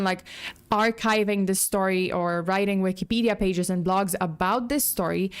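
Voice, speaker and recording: A woman's voice: medium pitch, clean tone. A woman hosting a casual livestream, chatting and rambling, greeting viewers by name as her voice starts to go. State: losing her voice. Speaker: livestream host.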